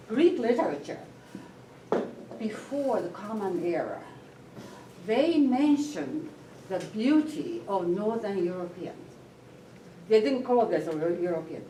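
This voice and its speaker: A woman who grew up in Japan, speaking thoughtfully with frequent pauses in a group discussion. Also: mid-range voice, dark tone. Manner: thoughtful, pausing often with fillers. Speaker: forum participant. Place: meeting room with a group. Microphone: room mic at a distance. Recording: echoey room, distant mic, faint noise.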